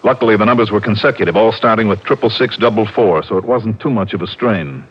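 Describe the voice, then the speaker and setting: Tough insurance detective for old-time radio crime show: lowish, raspy, pressing and skeptical, muffled period recording. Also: male voice, quick pace. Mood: matter-of-fact. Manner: clipped, probing, insistent. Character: tough, hard-nosed male insurance investigator, insistent and skeptical. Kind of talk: 1950s radio detective acting. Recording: dull vintage radio recording.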